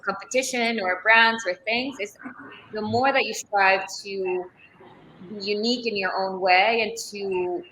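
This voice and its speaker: A woman's voice: mid-range voice, bright, forceful tone, reverberant, slight background noise, rough recording. A young woman from Ecuador, a leather craftswoman, answering interview questions thoughtfully and often searching for the right word, as if on a video call. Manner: thoughtful, searching for words, many restarts. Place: Florence, Italy.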